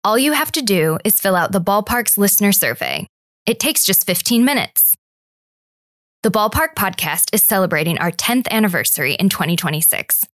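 A woman's voice: mid-range voice, fairly bright tone, dry recording. A woman announcer with a mid-range voice reads a scripted promo. The sound is dry, with no room around it, and the delivery is that of a short advertisement.

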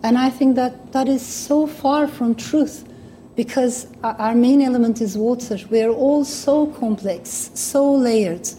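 A woman's voice: on the high side, unhurried, warm tone, a little hiss or hum. A woman from Turkey with a Turkish accent, speaking English as a second language in a thoughtful, earnest public talk. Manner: thoughtful, earnest, with small restarts. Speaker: guest speaker.

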